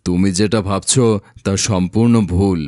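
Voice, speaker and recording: A man playing a gentle, reassuring village father in an animated moral story, explaining in a soothing, measured way. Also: low voice, no echo, clean studio sound, lightly acted.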